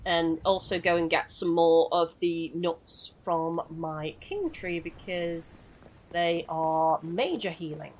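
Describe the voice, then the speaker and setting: A woman's voice: on the low side, slow pace, a little hiss or hum. Chatty woman streaming a game. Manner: chatty, rambling, chats with viewers. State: losing her voice. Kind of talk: live gameplay commentary.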